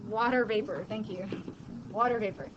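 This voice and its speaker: A woman teaching a high school chemistry class in a casual, joking way, quizzing her students as she goes. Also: moderate pace. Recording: muffled classroom recording, background noise.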